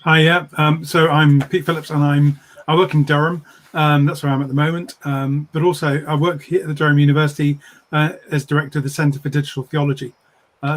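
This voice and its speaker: A man, an academic, talking informally as a panelist in an online discussion. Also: a little high. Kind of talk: informal self-introduction.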